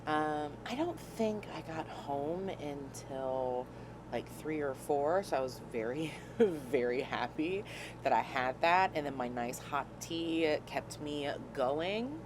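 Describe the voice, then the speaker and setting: A woman hosting a casual cooking vlog from a small RV, slightly hoarse and scratchy from a cold, chatty and laughing at her own clumsiness. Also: deep voice, expressive, slow pace. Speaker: vlog host. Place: small RV kitchen. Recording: noticeable background noise.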